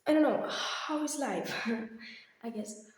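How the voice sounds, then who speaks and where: Slow-talking young woman in a very echoey room. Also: sits high, noisy background. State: slightly hoarse. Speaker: vlogger. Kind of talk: casual personal chat, rambling updates. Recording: very echoey, reverberant empty room.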